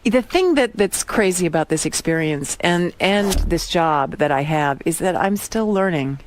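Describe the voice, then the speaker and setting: A woman's voice: lowish voice, animated, moderate pace, a little hiss or hum. A woman in her fifties, a guest actress in a radio interview, telling her story in an earnest, passionate way. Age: 50s. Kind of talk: studio interview.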